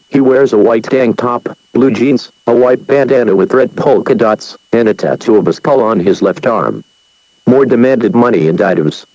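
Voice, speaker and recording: A man voicing an emergency alert announcer, reading a bulletin in a flat, formal, measured way. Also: mid-range voice, no echo. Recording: dull lo-fi broadcast audio.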